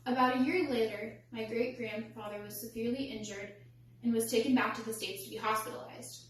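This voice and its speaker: A young woman delivering a rehearsed storytelling speech in a measured, formal, carefully enunciated way. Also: fairly high pitch, fairly even intonation. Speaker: student public speaker. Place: indoor echoey room, recorded from a distance. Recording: echoey room, distant mic, faint hum.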